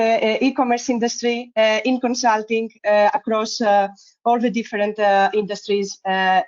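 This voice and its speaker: A woman introducing herself as a product manager, hesitant with many uhs. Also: fairly high pitch. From Greece. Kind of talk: self-introduction on a webinar. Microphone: video call. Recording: muffled video call audio.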